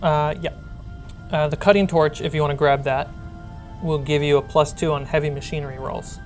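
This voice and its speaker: A woman speaking as game master, narrating a tabletop role-playing game in a halting, thinking-aloud way. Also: low voice, fairly even intonation, darkish tone. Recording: background music under the voice.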